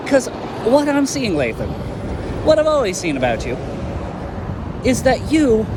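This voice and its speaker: A man's voice: high-pitched, background noise. A man playing a blunt, ranting young hero. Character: stubborn young male hero, blunt and weary. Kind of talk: fan audio drama acting.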